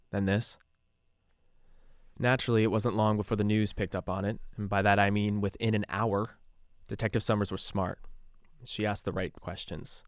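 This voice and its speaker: An actor playing an embittered, haunted radio DJ who narrates a secret case file conversationally for a podcast drama. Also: male voice, on the low side, dry recording, studio quality, lightly acted.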